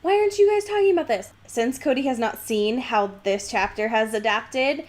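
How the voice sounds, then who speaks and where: A woman's voice: on the high side, expressive, moderate pace, a little mellow. Emphatic, slangy woman podcaster. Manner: emphatic, slangy, exasperated asides. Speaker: podcast co-host. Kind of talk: casual book discussion with mocking quotes.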